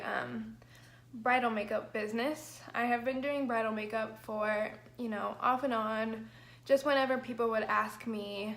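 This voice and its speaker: A young woman with an allergy-hoarse, crackly voice, chatting through a makeup livestream, rambling with lots of "like" and "um". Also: on the high side, a bit bright, echoey room. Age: young adult. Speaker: makeup vlogger. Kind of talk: chatty get-ready-with-me livestream. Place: small bedroom, indoors. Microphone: built-in mic of a camera at arm's length.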